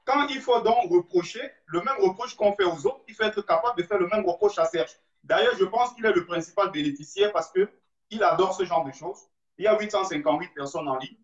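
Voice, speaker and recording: A man hosting a live discussion, speaking in a measured way and addressing his viewers directly. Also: high-pitched. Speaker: live stream host. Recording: roomy, dull live stream audio.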